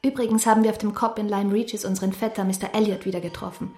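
Woman acting peevish gentlewoman in echoing room. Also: mid-range voice, steady tone, rapid-fire, natural voice. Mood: peevish, dismissive. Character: peevish, self-important young gentlewoman, quick to contradict. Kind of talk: German radio play acting.

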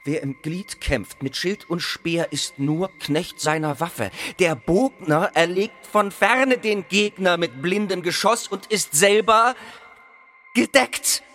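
An actor playing an indignant aged father in a radio play, declaiming in a halting, theatrical way. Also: high voice, sharp tone, heavily acted. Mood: proud rebuttal. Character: Amphitryon, indignant aged father defending his heroic son. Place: home, online video call. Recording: noisy home recording.

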